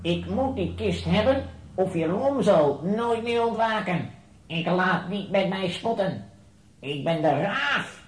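An actor playing a menacing bird-headed sorcerer villain in a children's audio play, slow, commanding and threatening. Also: mid-range voice, lively intonation. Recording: roomy, echoing villain voice.

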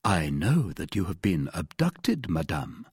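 A man's voice: low-pitched, sing-song, a little slow, a little mellow, breathy edge, no echo, clean studio sound, exaggerated voice. A man playing a gallant, courteous young guardsman in an audiobook, speaking earnestly and formally. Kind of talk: audiobook character voice.

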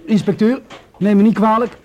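A man's voice: high-pitched, steady tone, normal speed, warm tone, slight background noise, lightly acted. A man with a Netherlands Dutch accent playing a naive, deferential young police rookie in a radio play, hesitant and full of questions. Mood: puzzled, eager.

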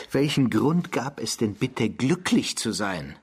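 Disgusted male listener, radio drama, husky, sarcastic, indignant, animated pitch. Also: takes it slowly, exaggerated voice. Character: disgusted, sarcastic man forced to hear a confession. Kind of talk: German radio drama acting.

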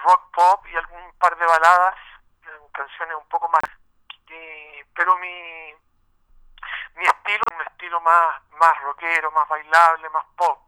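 Bright-voiced Chilean man, clear and halting. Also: a little high, rough recording. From Santiago, Chile. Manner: halting, searching for words, often ending with "¿no?". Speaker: musician, interview guest. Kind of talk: casual radio interview.

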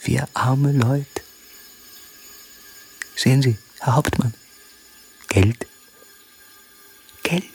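A humble, downtrodden soldier in a radio play. His voice is husky and slow, with submissive, halting, plain-spoken delivery. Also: medium pitch, steady tone, a little mellow, exaggerated voice. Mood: humble, bitter. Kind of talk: theatrical radio drama acting.

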